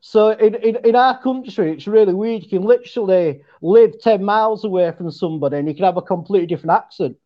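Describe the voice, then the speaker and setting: A man with a West Yorkshire accent, a podcast guest chatting casually, with bursts of quick talk and frequent restarts. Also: sits high, dull audio. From West Yorkshire, England. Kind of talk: casual chat.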